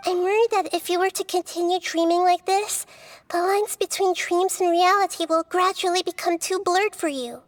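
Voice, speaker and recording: Little wise goddess girl, high and dry, thoughtful, caring, explains stuff calmly, video game. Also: normal speed, no echo. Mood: concerned. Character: small wise gentle child-like goddess, calm and thoughtful.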